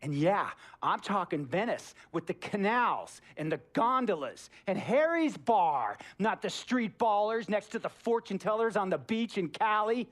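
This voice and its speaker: A man's voice: sits high, very animated, a little slow, hardly any pauses, full character voice. A man voicing a pushy billionaire team owner in a video game cutscene, lecturing in a stern, bossy way. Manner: bossy lecturing with sudden loud outbursts.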